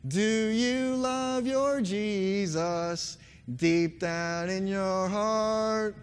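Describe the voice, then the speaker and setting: A young man preaching a sermon with a hoarse voice, earnestly leading his congregation in song. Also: sits high, extremely slow. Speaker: pastor. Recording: long reverb hall.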